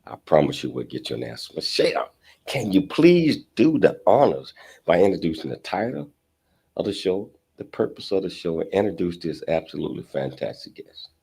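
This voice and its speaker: An American man hosting a casual talk show in a halting, rambling way with repeated words. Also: deep voice, sing-song, vocal fry, dark tone, breathy edge.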